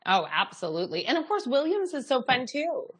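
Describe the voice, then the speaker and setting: A woman's voice: sits high, very animated, brisk, breathy edge. A woman hosting a TV show, bubbly and enthusiastic as she interviews a guest in the studio. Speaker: TV show host. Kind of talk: TV host interview.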